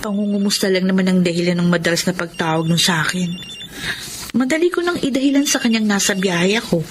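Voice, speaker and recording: A woman's voice: mid-range voice, fast, slight background noise, lightly acted. An actress playing a guilt-ridden young female student with a secret affair in a Tagalog radio drama. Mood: secretive, teasing. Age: young adult.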